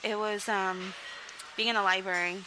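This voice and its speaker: Young woman from Mexico, interview guest, open and rambling, steady mid-range pitch, noisy recording. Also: normal speed. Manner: candid, rambling, frequent "like" and "um", laughs between sentences. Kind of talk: casual interview storytelling.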